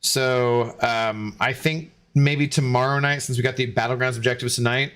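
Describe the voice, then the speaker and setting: Young man, tipsy, rambling stream chat, medium pitch, filler-heavy. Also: fairly even intonation, normal speed, fairly bright tone, clear, resonant tone. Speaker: game streamer. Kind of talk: casual stream chat to viewers.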